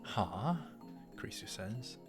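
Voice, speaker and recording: A man with a lowish voice hosts a game playthrough. He reads narration aloud and drops in casual comments. Soft music plays underneath. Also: very animated, takes it slowly. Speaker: let's play host. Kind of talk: reading a visual novel aloud with casual asides.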